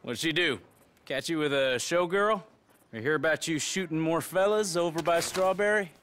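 A man's voice: fairly high pitch, normal speed, a bit bright, natural voice. An actor playing a blunt, prying older ranch foreman, in a video game drama. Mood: prying, mocking. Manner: blunt, dry.

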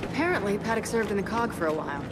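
Brisk woman playing a female squad leader, loud music. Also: medium pitch, animated, lightly acted. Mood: practical. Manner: businesslike. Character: brisk, decisive female soldier leading a squad. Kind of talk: video game voice acting.

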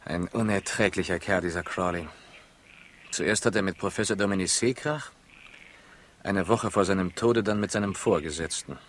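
An actor playing an erudite, ironic investigator in a 1960s radio crime play, with a dry, deliberate delivery. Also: male voice, sits low, natural voice. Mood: exasperated, ironic. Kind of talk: 1960s German-language radio crime drama acting. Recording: faintly noisy old radio recording.

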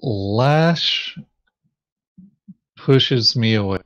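A man's voice: medium pitch, animated, extremely slow, a bit bright. A man playing a board game, chatting casually with droll, joking asides. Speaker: tabletop game player. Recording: dull, lo-fi microphone sound.

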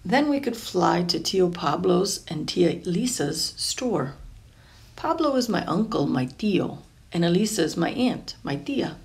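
A woman reading a children's picture book aloud in an expressive, deliberate storytelling way, lingering over phrases. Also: lowish voice, creaky. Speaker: storybook reader.

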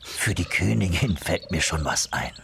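A male actor voicing a kind, gruff, reassuring huntsman in a children's fairy-tale radio play. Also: sits low, expressive, normal speed, very noisy. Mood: soothing.

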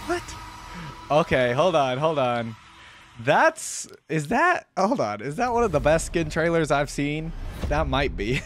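A man's voice: on the high side, huge pitch swings, measured pace, a bit bright. A man in his twenties chatting casually to viewers as a reaction host, in loose, slangy speech, close on a desk microphone. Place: small indoor home streaming setup. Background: electronic music.

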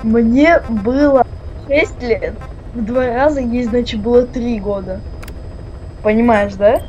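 A woman's voice: on the high side, slow pace, dark tone, dull audio, soft background music. A woman chatting casually during a game, teasing and insistent, repeating herself. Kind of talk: casual chat while gaming.

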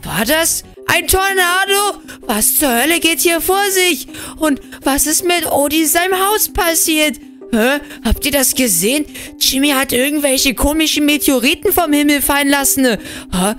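A high, boyish voice of an excitable big brother in a game story, played by a woman. He exclaims nervously to his viewers and stumbles over words when flustered. Faint music plays behind. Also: unhurried. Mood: shocked. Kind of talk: acted role-play game story for viewers.

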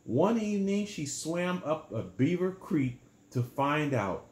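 A man in his sixties reading a children's bedtime story aloud in a small room, pausing to explain things simply and patiently. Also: a little high, animated, roomy sound.

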